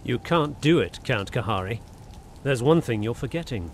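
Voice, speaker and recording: An actor playing a desperate young lord in a radio suspense drama, drugged, breathless and stammering. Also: male voice, mid-range voice, expressive, moderate pace, slight background noise, lightly acted. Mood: defiant.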